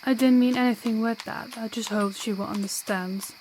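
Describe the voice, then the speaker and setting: A woman's voice: a little high, fairly even intonation, normal speed, a little mellow, slight background noise, lightly acted, poor audio. A woman playing a proud, defiant, principled young princess in an audio roleplay, speaking formally and bluntly. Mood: earnest, resolute.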